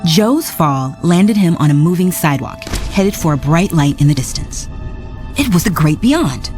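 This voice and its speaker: A woman narrating a storybook read-along in a lively, expressive way, with soft music behind. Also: deep voice, moderate pace.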